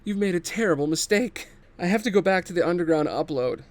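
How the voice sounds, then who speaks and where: A man's voice: high voice, lively intonation, quick pace, no room sound, pitched above the performer's own voice. A man voicing a frantic male hologram doctor, a former officer on the run, speaking urgently in a sci-fi table read. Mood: frantic, pleading.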